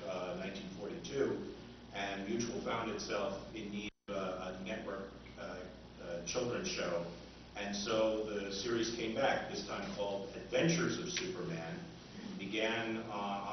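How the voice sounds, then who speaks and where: A man giving an informal, explanatory lecture in a halting way. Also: fairly low pitch. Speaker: author giving a convention talk. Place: convention meeting room, indoors. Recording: echoey hall, distant mic, muffled, loud noise.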